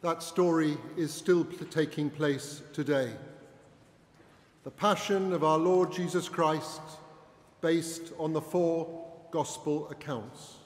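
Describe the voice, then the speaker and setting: A man delivers solemn narration at a slow, deliberate pace. His fairly high voice carries through a large church with long reverb and faint noise. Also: steady tone. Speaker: narrator introducing a Passion reading.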